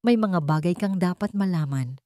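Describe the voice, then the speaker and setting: A woman playing a scheming young man in a radio drama, speaking gently with feigned concern and a taunting edge. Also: lowish voice, lively intonation, normal speed, no echo, clean studio sound, natural voice. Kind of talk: Tagalog radio drama storytelling.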